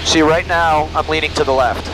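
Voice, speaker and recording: A man's voice: fairly high pitch, steady tone, normal speed. A middle-aged American man training as a pilot, talking through procedures and making radio calls over an aviation headset. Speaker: student pilot. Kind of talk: cockpit talk and radio calls. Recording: aviation headset audio with engine noise.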